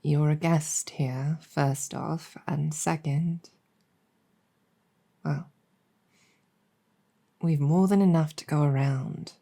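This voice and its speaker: A gracious demon general voiced by a woman hosts an honored guest in an improvised ASMR roleplay. Her voice sits very low and dark. She speaks softly and very slowly, with wry, measured courtesy and small hesitations. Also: fairly even intonation, gravelly, full character voice. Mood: relaxed, friendly.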